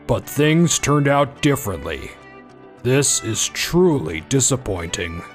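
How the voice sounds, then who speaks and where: An actor playing a grave, middle-aged company president and father in a manga dub, formal and measured. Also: mid-range voice, very animated, faint music behind. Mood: stern, disappointed.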